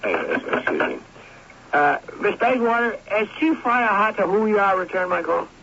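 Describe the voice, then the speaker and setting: An actor playing an eager, proud, smooth-talking advertising man in radio drama, defensive and persuasive. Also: high voice, sing-song, unhurried, bright, forceful tone, exaggerated voice. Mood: glib. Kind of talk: 1970s radio drama acting. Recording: noisy, muffled old radio broadcast recording.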